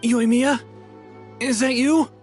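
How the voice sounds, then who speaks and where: A man's voice: very high voice, steady tone, takes it slowly, music in the background. An actor playing a polite, hesitant young male fugitive in an anime game, earnest and apologetic. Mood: nervous. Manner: hesitant, soft-mannered, deferential. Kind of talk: English anime game voice acting.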